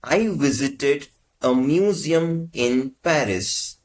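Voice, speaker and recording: A man teaching as a language tutor, reading short prompts in slow, measured phrases. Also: medium pitch, lively intonation. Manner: measured, carefully spaced phrases. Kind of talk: slow, deliberate lesson prompts.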